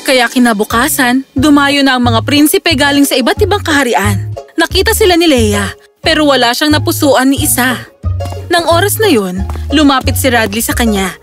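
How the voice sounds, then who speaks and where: A woman's voice: high voice, lively intonation, normal speed, faint music behind. A woman narrator reading a scripted fairy tale for children.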